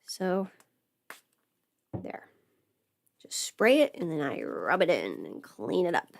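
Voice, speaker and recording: A woman's voice: lowish voice, huge pitch swings, slow pace, gravelly. A woman chatting informally on a livestream, rambling and thinking aloud as she goes. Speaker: livestream host, audio creator. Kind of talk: casual livestream chat.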